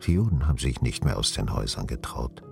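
Stern weary official in a radio play, super low creaky voice, music under. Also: male voice, animated, fast talker, exaggerated voice. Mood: grave, measured. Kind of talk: German radio play acting.

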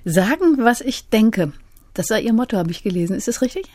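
A woman hosting a radio interview, putting prepared questions in a clear, courteous manner. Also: fairly high pitch, sing-song, brisk, darkish tone, dry recording, studio quality.

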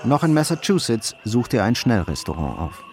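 A man narrating a crime radio play in a sober, measured storytelling manner. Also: mid-range voice, big pitch swings, faint music behind.

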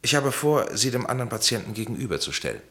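An actor playing a blunt, dryly ironic, authoritative psychiatrist in a German radio play, with a deliberate, lecturing delivery. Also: male voice, mid-range voice, big pitch swings, bright, forceful tone. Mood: calm, probing.

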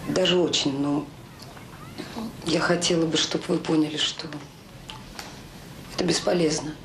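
An actress in a Soviet radio drama playing a determined, anxious woman who speaks haltingly and earnestly. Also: low voice, steady tone, gravelly. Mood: tense, earnest. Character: determined, anxious woman warning a romantic rival. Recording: noisy, roomy old audio drama recording.

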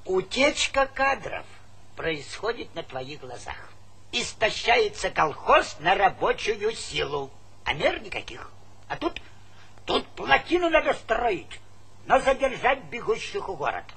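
A man acting a pompous, humourless village informer in a Soviet stage comedy, with a creaky voice and clipped officialese. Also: sits high, very animated, measured pace, sharp tone, exaggerated voice. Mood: pompous, grave. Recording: noisy old theatre recording.

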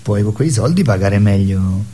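A man's voice: fairly low pitch, lively intonation, normal speed, warm tone, slight background noise. A man being interviewed as a theatre artist, speaking in an assertive, declarative way in conversation.

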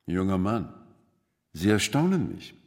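Urbane wry professor, super lively delivery, confident, airy, radio play, big hall echo. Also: male voice, deep voice, unhurried, exaggerated voice. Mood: genial, wry. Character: genial, confident senior mathematics professor, airily dismissive. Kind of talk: German radio drama acting. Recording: long hall reverb.

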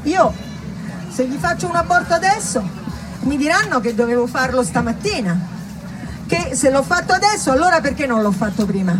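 A woman's voice: sits high, lively intonation, moderate pace, over music, a crowd in the background, low-quality recording. A woman performing a callous, evasive male doctor in a stage monologue, brusque, defensive and insistent. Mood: anxious, self-justifying.

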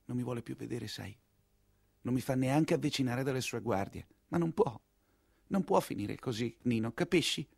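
An actor playing a heartbroken, naive young count who speaks in a pleading, wounded way in a radio monologue play. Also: male voice, mid-range voice, moderate pace, creaky, a little mellow, no room sound, clean studio sound.

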